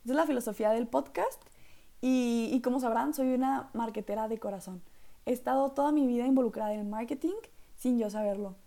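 A young woman from northern Mexico hosts her own podcast, speaking with a clear northern Mexican accent. Her voice sits a little high but has a mellow, gravelly fry to it. She talks in a loose, chatty way, circling back with fillers and asides. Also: brisk. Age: young adult. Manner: chatty, rambling, lots of fillers like "literal" and "¿saben?". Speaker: podcast host. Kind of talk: casual solo podcast monologue.

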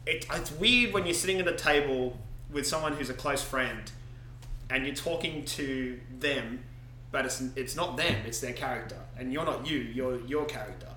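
A man's voice: mid-range voice, normal speed, roomy sound, a little hiss or hum, low-quality recording. A man co-hosting a casual podcast about tabletop role-playing, telling stories and laughing often. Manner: laughs often, relaxed storytelling. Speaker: podcast co-host. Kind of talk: casual chat.

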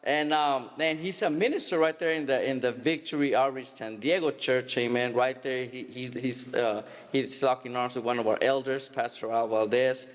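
A man in his thirties from Stockton, California, hosting a church podcast interview in a halting, casual way with many restarts. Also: mid-range voice, fairly even intonation. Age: 30s. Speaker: podcast host. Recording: long hall reverb.

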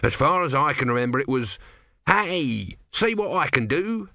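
A man's voice: medium pitch, sing-song, moderate pace, vocal fry, pushed and bright, no echo, studio quality, voice pushed up, heavily acted. A man voicing a grumpy small god trapped as a tortoise, evasive and sardonic, in a storybook reading. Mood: casual, sheepish.

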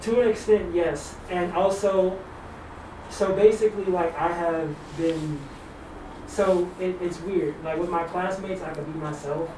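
A blunt, candid young man with a somewhat high voice pauses often and restarts with fillers. He sits far from the mic in an echoing room with steady noise behind. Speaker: student in a counseling program. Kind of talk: casual group discussion. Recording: echoey room, distant mic, background noise.

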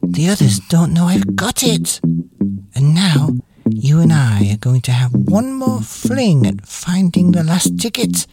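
A man voicing an excitable, sly old grandfather in a children's storybook, with a hushed, conspiratorial manner. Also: fairly high pitch, unhurried, husky, no echo, clean studio sound, voice pushed up, heavily acted. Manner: conspiratorial, whispering and spluttering with excitement. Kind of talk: children's storybook character reading. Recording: music behind the voice.

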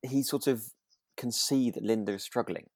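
A man co-hosting a relaxed discussion podcast, reflective and halting as he rephrases his thoughts. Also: mid-range voice, no room sound. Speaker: podcast co-host. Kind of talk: relaxed radio drama discussion chat.

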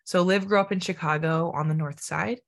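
A woman's voice: on the low side, steady tone, fairly quick, creaky. A young woman hosting a casual podcast and guiding the interview, easygoing and hedging her words often. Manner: easygoing, hedging with "kinda" and "I feel like".

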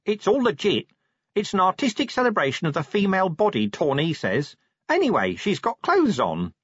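A man's voice: high-pitched, wildly swooping pitch, moderate pace, slightly rough, no echo, muffled recording, voice pushed up, full character voice. A man voicing a cheeky, grubby male watch corporal in an audiobook, chatty and matey in informal working-class speech. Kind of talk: audiobook character voice.

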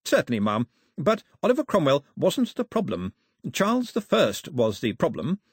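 Urbane, courtly royal official, acted by a male reader, assured, husky, with lively melodic swings. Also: fairly high pitch, normal speed, no echo, studio quality, voice pushed up, exaggerated voice. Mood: smooth, assured. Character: smooth, formal, self-assured male crown official. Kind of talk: audiobook character voice.

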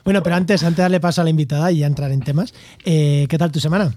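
A man's voice: fairly high pitch, fairly even intonation, rapid-fire, a little mellow, no room sound. A man hosting a podcast, talking in a chatty, joking, self-deprecating way. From Castilla-La Mancha, Spain. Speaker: podcast host. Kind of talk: casual podcast chat.

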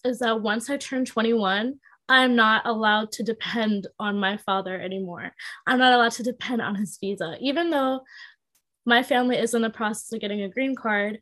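A woman's voice: a little high, fairly bright tone. A woman of twenty, a podcast guest, explaining her situation in a chatty way full of "like" fillers. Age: 20s. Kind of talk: casual chat.

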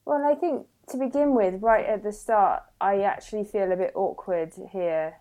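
A woman's voice: mid-range voice, moderate pace, warm tone. A woman in her thirties, a podcast guest, telling her story in a chatty way with restarts and self-corrections. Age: 30s. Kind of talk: casual interview conversation.

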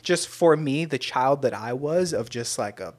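A man's voice: medium pitch, expressive, moderate pace. An American man hosting a casual podcast chat, earnest and rambling, with plenty of slang and free swearing. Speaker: podcast host.

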